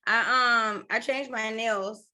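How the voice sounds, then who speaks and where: St. woman co-host, blunt, bright tone. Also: a little high, steady tone, slow. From St. Louis, USA. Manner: blunt, casual, swears freely. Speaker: podcast co-host.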